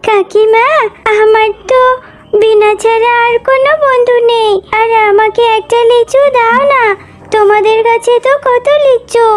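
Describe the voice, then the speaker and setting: A woman's voice: very high-pitched, steady tone, unhurried. A woman voicing a sweet, eager young animal girl in a children's cartoon, earnest and pleading in a childlike way. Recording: music behind the voice.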